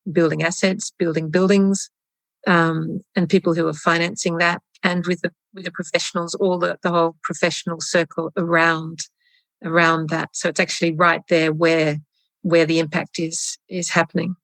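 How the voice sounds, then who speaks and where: A woman's voice: lowish voice, level tone, moderate pace, fairly bright tone, no room sound, poor audio. A woman hosting an interview, asking questions and murmuring agreement as her guest speaks. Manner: supportive, frequent backchannel murmurs. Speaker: podcast host, interviewer. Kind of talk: conversational interview.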